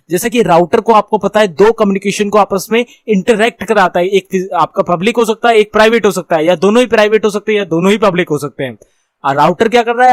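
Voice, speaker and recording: High-pitched Indian man teaching a lesson. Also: no room sound. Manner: informal, repetitive explaining with frequent check-ins. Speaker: instructor. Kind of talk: explanatory lesson, reading definitions then explaining them.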